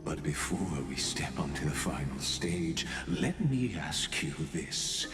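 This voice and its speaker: Imprisoned mastermind villain, game cutscene, very slow theatrical delivery, coldly patient, music behind. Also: male voice, extremely low pitch, sing-song, echoey room, heavily acted. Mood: calm, menacing. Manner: theatrical, deliberate, pompous monologuing. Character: imprisoned male genius villain, grandly philosophical, coldly patient. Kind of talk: scripted video game villain narration.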